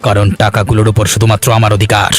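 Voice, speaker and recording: Man doing a fast-talking scheming servant. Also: on the low side, completely flat delivery, music in the background, natural voice. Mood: menacing, mocking. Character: scheming greedy servant man, fawning and bullying. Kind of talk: cartoon voice acting.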